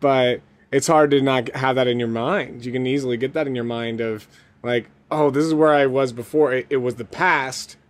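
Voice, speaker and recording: An American man hosts a relaxed, informal interview. His voice is of average male pitch. He talks in a chatty, effusive way and is supportive of his guest. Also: moderate pace. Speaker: interview host. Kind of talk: casual chat.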